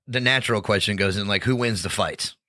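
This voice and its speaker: A man's voice: on the low side, fairly quick, bright, forceful tone, no echo, studio quality. A man hosting a podcast interview, asking rambling questions in casual chat. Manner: rambling, lots of fillers and restarts. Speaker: podcast host.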